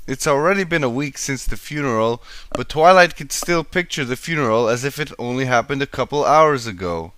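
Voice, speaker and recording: A man's voice: medium pitch, expressive, fairly quick, a bit bright, smooth, dry recording. A man narrating a scripted story as an amateur fan fiction reader. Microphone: home microphone.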